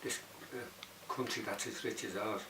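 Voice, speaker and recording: Low-voiced Liverpool man, distant and echoey. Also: steady tone, normal speed. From Bootle, Liverpool, England. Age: 60s. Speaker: documentary interviewee. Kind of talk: unscripted interview answers. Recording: roomy, distant mic, clearly noisy background.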